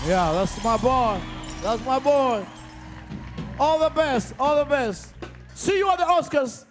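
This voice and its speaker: A Kenyan man hosting a live comedy show on a studio stage, speaking with a Kenyan English accent into a handheld mic and shouting announcements to the crowd. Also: extremely high pitch, slow. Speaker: talk show host. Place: large indoor studio stage with audience. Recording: echoey studio hall, faint music.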